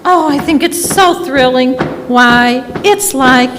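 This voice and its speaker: A woman playing a dreamy, excitable daughter in a live old-time radio play. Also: high voice, slow pace. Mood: thrilled. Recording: noisy, echoey hall stage recording.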